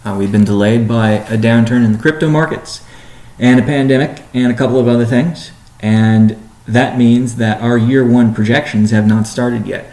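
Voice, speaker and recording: A man, a company chief executive, answering community questions in a measured, deliberate, businesslike way on a weekly conference call. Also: medium pitch, steady tone, mellow, reverberant.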